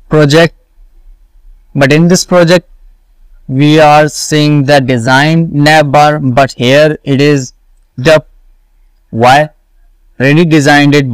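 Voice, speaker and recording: Man, unhurried coding tutor, dry close sound. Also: on the high side, steady tone, clear, resonant tone, dry recording, low-quality recording. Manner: deliberate, repeats steps, asks and answers own questions. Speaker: web development tutor. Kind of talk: screen-recorded coding tutorial.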